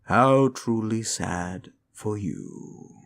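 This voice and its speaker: A man playing a sinister, mocking demon in a roleplay ASMR style, slow and theatrical, with a gravelly voice and condescending, drawn-out words. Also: on the low side, full character voice. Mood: sly, taunting. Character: sinister mocking male demon, condescending soul-hungry tempter. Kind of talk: roleplay comfort ASMR acting.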